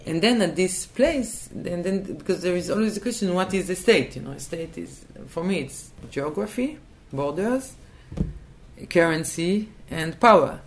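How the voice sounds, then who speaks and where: A woman's voice: on the low side, lively intonation, measured pace, slight background noise. A woman from Israel, answering in a thoughtful interview and hesitating and restarting as she looks for words. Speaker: interview guest.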